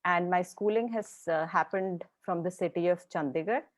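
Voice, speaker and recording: A woman's voice: lowish voice, moderate pace, no room sound, clean studio sound. A woman from India with an Indian accent, introducing herself politely as a professor. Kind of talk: self-introduction on a webinar.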